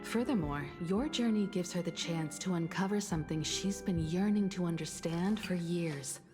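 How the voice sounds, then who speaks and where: A woman acting as a gentle, dutiful game character with a lowish voice. She is formal, calm and earnest, with music clearly behind her. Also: normal speed, lightly acted.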